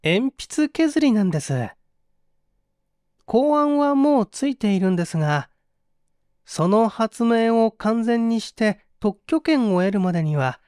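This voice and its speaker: A scheming male prisoner acted by a man reader speaks in a high, lilting voice. He is courteous and ingratiating, softly coaxing as in an audiobook drama, recorded close and dry. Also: expressive, measured pace, clean tone, no echo. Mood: slyly eager. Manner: soft-spoken, ingratiating. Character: sly, meek-seeming male prisoner, coaxing and polite.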